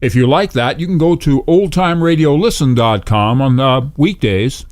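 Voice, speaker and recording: A man's voice: medium pitch, moderate pace, darkish tone. A man speaking as a radio channel host in an informal station promo style. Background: music.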